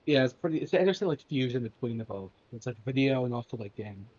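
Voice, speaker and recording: A young man co-hosting a podcast, talking in a halting way full of fillers like "like" and "you know what I mean". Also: medium pitch, animated, a little mellow, muffled recording, slight background noise. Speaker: podcast co-host. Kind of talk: casual chat.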